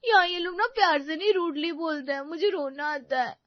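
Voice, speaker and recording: A woman's voice: very high-pitched, lively intonation, a little fast, pitched above the performer's own voice. A woman voicing a childlike, oversensitive young newlywed bride in a whiny, pouting story voice. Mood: tearful. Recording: slightly dull, dry recording.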